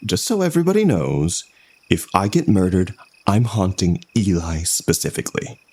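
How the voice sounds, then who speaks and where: A man's voice: deep voice, big pitch swings, normal speed, darkish tone, slightly rough, pitched above the performer's own voice, heavily acted. A man voicing a sardonic, defiant young woman with a dry, snarky manner.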